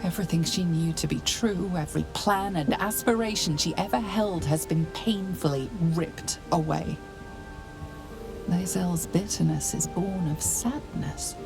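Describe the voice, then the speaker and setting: An actress playing a grave, knowing storyteller, giving measured, solemn dramatic narration for a fantasy video game. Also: female voice, fairly low pitch, rough recording. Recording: music behind the voice.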